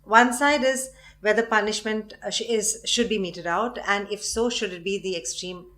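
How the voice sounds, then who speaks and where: A woman's voice: mid-range voice, steady tone, moderate pace, fairly bright tone. A woman in her forties from Chennai with a Tamil-tinged Indian English accent, answering interview questions in an earnest, firm way. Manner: earnest, firm, with light laughs. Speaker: interview guest, dancer.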